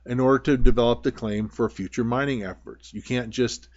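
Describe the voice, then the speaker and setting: A man's voice: medium pitch, fairly even intonation, a little fast, lo-fi sound. An American man giving an informal, plainspoken instructional talk over slides, explaining things with some hesitant phrasing. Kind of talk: informal slide-show lecture.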